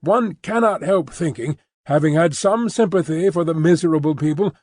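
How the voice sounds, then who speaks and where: A man voicing an earnest, restless young gentleman in formal, thoughtful, hesitant audiobook dialogue. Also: on the high side, normal speed, no room sound, lightly acted.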